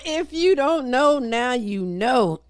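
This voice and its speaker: A woman's voice: high voice, expressive, slow, clean tone, dry recording, clean studio sound. A woman hosting a talk show, playful and sassy, stretching out "baby" in casual chat.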